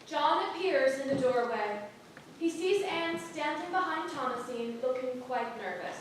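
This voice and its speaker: A woman's voice: sits high, animated, unhurried. A woman narrator reading scripted stage directions aloud at a staged play reading. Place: stage in a theatre. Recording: echoing theatre, distant mic, faint noise.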